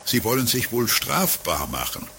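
A man's voice: medium pitch, very animated, normal speed, creaky, bright, forceful tone, full character voice. A man playing a dry, bureaucratic customs officer in an amateur comedy radio play. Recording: slightly noisy 1980s radio play tape.

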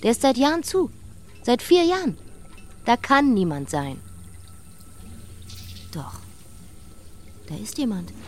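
A blunt, headstrong young woman in a radio play. Her voice sits fairly high and swings in a lively way. She is scornful and emphatic, dropping at times into a hissed whisper. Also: takes it slowly, pauses often. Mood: insistent. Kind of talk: German radio play acting.

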